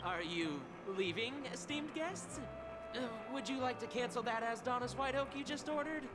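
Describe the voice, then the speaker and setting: A woman's voice: fairly high pitch, expressive, moderate pace, close to an everyday voice. A woman voicing a courteous, obsequious hotel bar server in a video game, with a singsong delivery. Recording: loud game music underneath.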